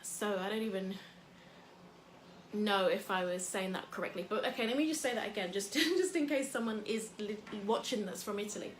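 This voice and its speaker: A woman's voice: medium pitch, normal speed. Woman, motivational host, chatty, rambling, echoey room, faint hum. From England. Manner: chatty, rambling, many fillers. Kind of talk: casual motivational talk.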